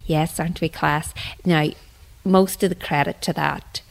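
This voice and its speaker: Northern Irish woman with lowish voice. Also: fairly even intonation, unhurried, gravelly, poor audio. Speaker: drama group member, children's teacher, radio guest. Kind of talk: casual interview chat.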